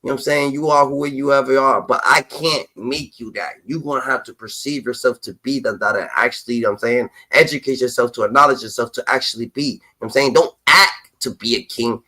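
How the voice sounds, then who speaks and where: A man's voice: medium pitch, fast, few pauses, bright, forceful tone, rough recording. A man in his twenties in casual livestream chat, talking emphatically and preaching, repeating catchphrases. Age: 20s.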